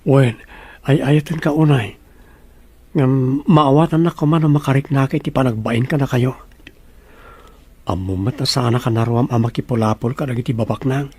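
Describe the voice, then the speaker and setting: A man's voice: medium pitch, moderate pace, long pauses, a little mellow, husky, no room sound. A man acting a humble, bashful young farmer in love, in a radio-style drama. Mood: shy, respectful.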